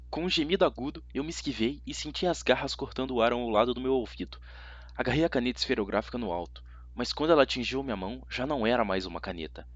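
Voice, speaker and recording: A man's voice: mid-range voice, dry recording, muffled recording. A man with a Brazilian accent narrating a story dramatically in the first person, as an amateur audiobook reader.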